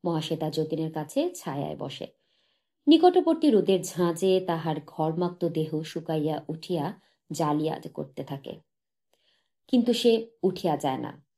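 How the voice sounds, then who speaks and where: Low, mellow woman narrating literature. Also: very animated, moderate pace. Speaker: audiobook narrator. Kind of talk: literary short story narration.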